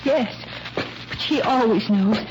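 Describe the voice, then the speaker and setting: An actress playing a morbid, frightened young woman in a radio mystery, tremulous and halting, repeating herself. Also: a little high. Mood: dazed, fearful. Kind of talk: 1940s radio mystery acting. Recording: noisy, muffled vintage radio recording.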